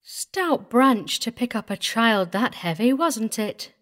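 A woman voicing a composed, kindly welfare visitor in a storybook, speaking gently with a knowing, easy manner. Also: mid-range voice, big pitch swings, measured pace. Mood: cheerful, knowing. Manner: gentle, cheerful, careless drawl.

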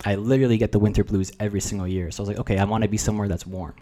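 A man's voice: lowish voice, fairly even intonation, rapid-fire, dark tone. A man in his twenties from New Jersey, whose first language is Russian, talking in a rambling, reflective way as a podcast guest. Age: 20s. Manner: reflective, rambling, filler-heavy. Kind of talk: casual chat.

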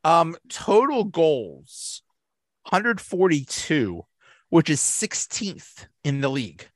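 A man hosting a sports podcast in casual chat, reacting with drawn-out exclamations. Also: a little high, no echo. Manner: slow, lively intonation, reacts with drawn-out exclamations. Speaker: podcast host.

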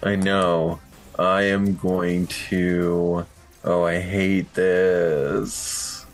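A man's voice: sits low, level tone, very slow. A man playing a tabletop roleplaying game, chatting casually and swearing freely.

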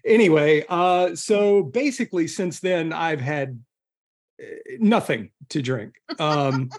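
A man chatting casually as a podcast guest, speaking in a hesitant, halting way. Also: a little high. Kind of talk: casual chat.

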